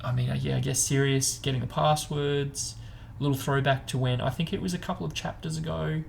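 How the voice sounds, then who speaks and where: A man with a mid-range, noticeably creaky voice hosts a relaxed book discussion. He explains things informally and wanders into speculation as he goes. There is faint noise in the background. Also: medium pitch, a little fast. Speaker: host of a book discussion show. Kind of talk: casual chat, book discussion.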